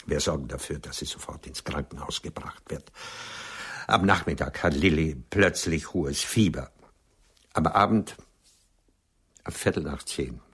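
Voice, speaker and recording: An actor playing a melancholy older male writer in a radio play, confessing bitterly in a halting, resigned way, his breathy voice sinking toward a whisper. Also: extremely low pitch, a bit bright, full character voice.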